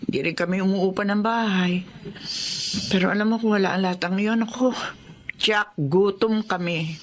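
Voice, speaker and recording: A woman's voice: mid-range voice, normal speed, lightly acted. A woman playing a worried, long-suffering older mother in a drama, plaintive and earnest as she speaks to and about her grown children. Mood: sad, weary. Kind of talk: Filipino radio-style drama acting.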